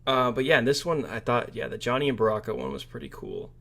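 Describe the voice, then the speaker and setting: A man's voice: mid-range voice, very animated, quick pace, creaky, pushed and bright. A man co-hosting a casual film podcast, chatting informally and somewhat tentatively, often trailing off. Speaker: podcast co-host. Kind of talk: casual movie discussion podcast.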